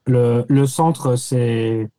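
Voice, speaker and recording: A man's voice: medium pitch, steady tone, drawn-out words, dark tone. A man chatting casually as a guest, telling anecdotes in slangy, informal language and often searching for words. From Nancy, France. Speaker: podcast guest, expatriate living in the United States.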